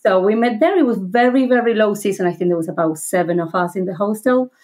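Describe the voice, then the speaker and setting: A woman in her thirties with an Argentine accent chats as a podcast guest over a video call. She tells her stories freely and laughs often, in a voice of middling pitch. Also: fast talker, darkish tone. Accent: Argentine Spanish. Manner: chatty storyteller, laughs often. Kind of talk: casual conversation.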